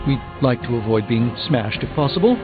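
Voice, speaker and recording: An actor playing a middle-aged, erudite sorcerer mentor in a video game, speaking with formal, grandiose eloquence and dry wit. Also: male voice, medium pitch, animated, brisk, narrow, tinny audio, natural voice. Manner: theatrical, eloquent, formal, wry. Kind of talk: video game character dialogue. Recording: game dialogue over prominent music.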